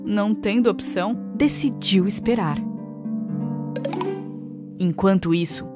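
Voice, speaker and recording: A woman narrating a scripted children's story. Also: mid-range voice. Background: music.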